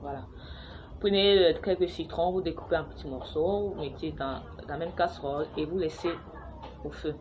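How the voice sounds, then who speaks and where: Low-voiced woman from Cameroon, chatty. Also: normal speed, a little mellow, slight background noise, low-quality recording. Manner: chatty, hesitant, with many fillers and restarts. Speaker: vlogger sharing a home remedy. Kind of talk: casual how-to talk to camera. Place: home, with noisy neighbours and children playing outside.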